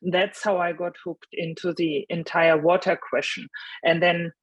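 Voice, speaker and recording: A German woman with a German accent, speaking as a panelist about her career path, pausing often with ums and restarts. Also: on the low side, little pitch movement, husky, no echo, muffled recording. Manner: hesitant, many ums and restarts.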